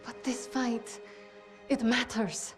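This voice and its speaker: An actress playing a defiant, sardonic young Soviet sniper in a game cutscene. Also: female voice, fairly high pitch, steady tone, takes it slowly, faint music behind. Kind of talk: dramatic game cutscene acting.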